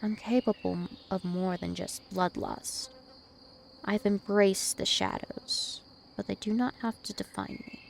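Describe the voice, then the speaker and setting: Casting: woman as an immortal vampire princess for ASMR roleplay, teasing and possessive, creaky voice over noticeable insect-like night ambience. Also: mid-range voice, fairly even intonation, slight background noise. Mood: calm, probing. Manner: slow, deliberate, teasing and possessive.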